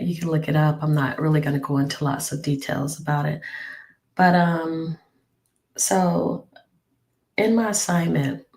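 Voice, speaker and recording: A woman with a low, hoarse voice, worn from a lingering cough. She rambles through a personal story, full of ums and restarts. The room around her sounds echoey. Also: darkish tone. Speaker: travel nurse. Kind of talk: casual storytelling vlog.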